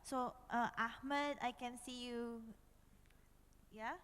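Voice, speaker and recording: A woman's voice: a little high, fairly even intonation, slow pace, fairly bright tone, clean tone. A woman moderating a question session, polite and directing as she keeps the speakers in order. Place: large conference hall. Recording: reverberant conference hall.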